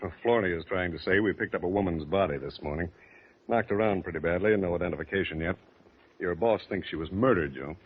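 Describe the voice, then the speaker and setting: An actor playing a tough but patient homicide lieutenant, measured and insistent, in a 1940s radio drama. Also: male voice, low-pitched, a little hiss or hum, natural voice. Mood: matter-of-fact. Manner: measured, insistent, plain-spoken. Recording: tinny, narrow old radio recording.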